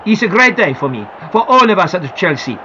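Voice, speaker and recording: A cheerful, proud male football star from a storybook, speaking in a lower, relaxed voice, as a woman reader puts him on. He sounds easy-going and pleased with himself. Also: a little fast, highs cut off, slight background noise.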